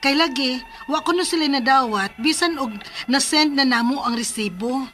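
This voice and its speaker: A young bride-to-be in a Filipino radio drama, speaks in a high, girlish register with a Filipino accent. She sounds troubled as her wedding falls apart, and music plays under the scene. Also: normal speed, pushed and bright. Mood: troubled narration.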